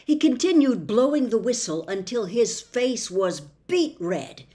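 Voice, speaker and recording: A woman reading a children's classic aloud as a storyteller, with deliberate, dramatic narration. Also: fairly high pitch, lively intonation, dark tone.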